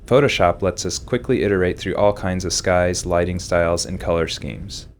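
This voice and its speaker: A man speaking as a game developer, narrating a commentary track. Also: normal speed. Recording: rumbling background noise.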